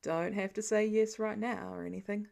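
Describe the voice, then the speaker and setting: A woman narrator voicing a guarded, fatherly man in a story, gentle and casually gruff, with coaxing, clipped phrases. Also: mid-range voice, fairly even intonation, moderate pace. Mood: careful, coaxing.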